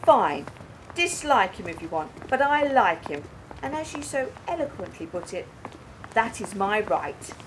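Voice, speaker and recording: An actress playing a wry, sharp, defiant woman in a naturalistic radio play. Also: mid-range voice, very animated, measured pace, noisy background. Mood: sharp, challenging. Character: adult woman, wry, sharp, defiant, confessing to her partner. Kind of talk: naturalistic radio play acting, dialogue and monologue.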